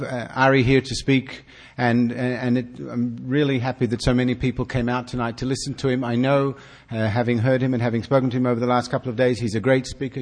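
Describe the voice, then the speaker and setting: A man with an Australian accent giving an earnest, halting welcome speech to an evening audience, often restarting his words. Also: medium pitch. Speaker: consul general giving opening remarks.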